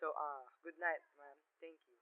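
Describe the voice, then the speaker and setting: A man's voice: fairly high pitch, steady tone, normal speed, heavy background noise, lightly acted. A teenage boy acting in a radio play as a dismissive police desk sergeant who placates and talks down to his caller. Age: teens, ninth-grade student. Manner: placating, condescending, brushing off worries. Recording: muffled, lo-fi amateur radio play sound.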